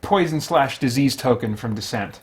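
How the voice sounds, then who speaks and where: A man's voice: mid-range voice, lively intonation, normal speed. A man hosting a solo tabletop gaming stream, giving casual running commentary and thinking aloud as he talks through each move. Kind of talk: casual running commentary while playing a board game.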